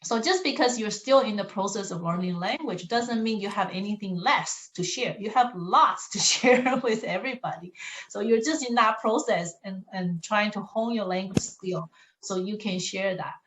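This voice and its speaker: A middle-aged woman for whom English is a second language, moderating a college panel in a warm, reassuring manner with frequent restarts. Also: mid-range voice, normal speed, slight background noise. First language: not English. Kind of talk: encouraging panel talk. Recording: echoey room.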